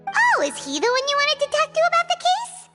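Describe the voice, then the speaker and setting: Squeaky, excitable little fairy girl. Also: fairly quick, long reverb tail, loud music behind the voice, heavily acted. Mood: excited, surprised. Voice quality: squeaky, childlike. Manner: exclamatory, eager, speaks of herself by name. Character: small chirpy flying fairy girl companion, excitable and curious. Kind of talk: cartoonish game voice acting.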